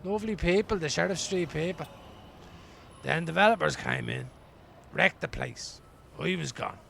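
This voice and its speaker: An actor in a radio play playing a weary, wry homeless man from Dublin, rambling colloquially in a gravelly voice. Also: a little high, big pitch swings, moderate pace, pushed and bright, a little hiss or hum, full character voice. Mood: wistful. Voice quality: gravelly, creaky. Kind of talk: radio play acting as an interviewee.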